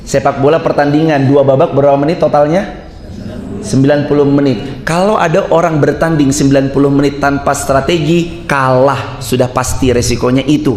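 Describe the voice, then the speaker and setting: A man's voice: mid-range voice, darkish tone, echoey room. A male preacher giving an emphatic mosque sermon, quoting Arabic and putting questions to the congregation.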